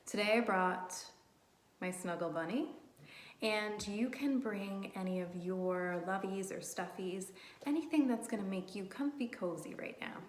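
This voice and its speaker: A woman doing a read-aloud storytime for young children in simple, encouraging language, animated and often asking questions. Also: mid-range voice, a little hiss or hum. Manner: animated, asks children questions and pauses for answers. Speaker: early childhood teacher reading aloud to children. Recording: roomy, echoey room sound.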